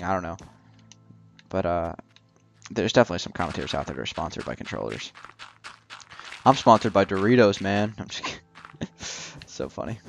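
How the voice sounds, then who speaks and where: A young man casually commenting while building in a video game, rambling and joking with lots of fillers. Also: deep voice. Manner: rambling, jokey, many fillers. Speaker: gaming channel host.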